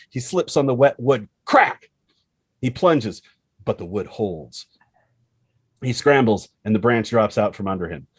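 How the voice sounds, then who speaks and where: A man's voice: mid-range voice, breathy edge, no echo. A man reading a screenplay's action lines as dramatic narration, expressively and in short punchy phrases. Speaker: screenplay reader.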